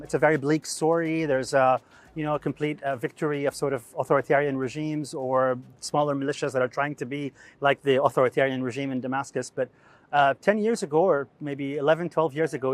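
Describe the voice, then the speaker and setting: Hesitant middle-aged man from Syria. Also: on the high side. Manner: hesitant, many fillers and restarts. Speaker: executive editor of a media outlet. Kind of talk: interview to camera.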